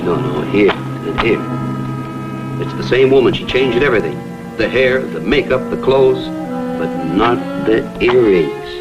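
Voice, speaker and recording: A man's voice: lowish voice, lively intonation, measured pace, dull audio, over loud music. An American man playing an earnest, persistent photographer-investigator in a vintage TV crime drama, speaking in an urgent, clipped way. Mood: intent.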